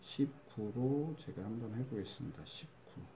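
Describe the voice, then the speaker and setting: A man's voice: medium pitch, lively intonation, normal speed, vocal fry, background noise. Chatty Korean man with a Chungcheong accent. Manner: chatty, repeats key words, drops to a mutter while measuring. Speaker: crafts teacher. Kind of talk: step-by-step craft demonstration.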